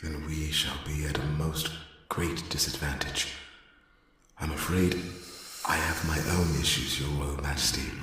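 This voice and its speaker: A man playing an old, courteous but guarded elven envoy in a fantasy audio roleplay, speaking formally and with measured deference. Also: extremely low pitch, steady tone, bright, forceful tone, heavily acted. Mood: troubled, apologetic. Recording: echoey long reverb with noisy ambience.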